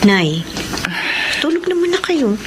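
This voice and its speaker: A woman's voice: high-pitched, sing-song, slow, very noisy, full character voice. A woman playing a protective, steadfast eldest daughter in an emotional Filipino radio drama. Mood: gently reassuring. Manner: emotional, urgent, consoling.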